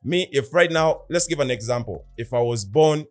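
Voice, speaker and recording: A man's voice: mid-range voice, expressive, normal speed, soft background music. A man in casual philosophical podcast talk, explaining earnestly and at length with rhetorical questions. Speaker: podcast co-host.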